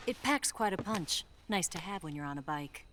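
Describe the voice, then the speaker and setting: A flirty confident spy lady from a video game, kinda low voice, cool and teasing, noisy audio. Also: lively intonation, normal speed, a bit bright. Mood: cool, matter-of-fact. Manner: teasing, self-assured, coolly explaining. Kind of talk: dramatic video game cutscene acting.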